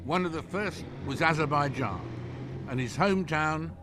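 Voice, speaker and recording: A man narrating a documentary script in a measured, dramatic way and hosting the interview. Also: a little high, animated, background noise. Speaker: host and narrator. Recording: narration over clear music.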